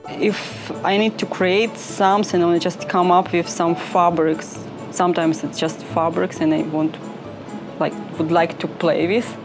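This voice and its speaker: A young woman with a Ukrainian accent talks about her design work in halting English. Her voice sits low with a gravelly fry. Clear music plays beneath her. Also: flat delivery. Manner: halting non-native English with many "like" fillers. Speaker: fashion designer. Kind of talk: interview talk.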